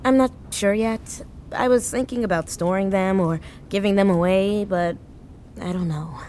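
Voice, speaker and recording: A woman's voice: mid-range voice, moderate pace, darkish tone, a little hiss or hum, lightly acted. A woman playing a grieving, hesitant, sentimental woman in her thirties in naturalistic audio drama dialogue. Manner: hesitant, subdued.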